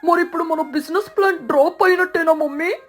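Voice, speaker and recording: A woman's voice: extremely high pitch, steady tone, fast, fairly bright tone, soft background music, voice pushed up. A woman voicing a young crow son in a cartoon story, worried and questioning. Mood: concerned.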